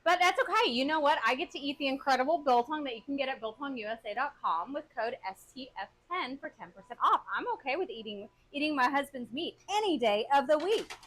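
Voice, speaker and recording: A woman co-hosting a cooking stream, reading out chat and teasing her husband in quick banter. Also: high voice, lively intonation, a bit bright, a little hiss or hum, low-quality recording. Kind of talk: casual live-stream chat.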